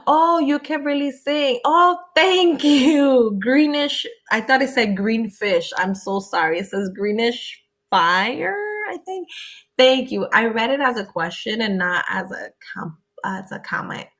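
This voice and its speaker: A woman's voice: fairly high pitch, animated, measured pace, fairly bright tone. Young woman, from Connecticut, Spanish first language, chatty live Q&A host, reads comments aloud, laughs often. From Connecticut, USA. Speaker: livestream host answering viewer questions. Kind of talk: casual live Q&A chat.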